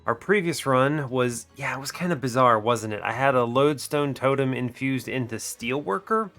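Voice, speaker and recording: A man's voice: medium pitch, animated, normal speed, a bit bright, soft background music. A young man hosting a gaming channel, talking through a card game while sick, his voice muted and a bit nasal, rambling and correcting himself as he goes. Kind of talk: game commentary. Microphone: desk microphone.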